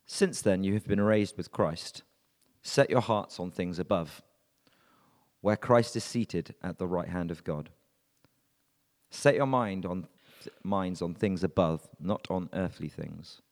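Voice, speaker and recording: Deep gravelly man, echoing church. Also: darkish tone. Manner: measured, earnest reading. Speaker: scripture reader and prayer leader. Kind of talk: Bible reading and prayer. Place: church hall. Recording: long reverb of a church hall.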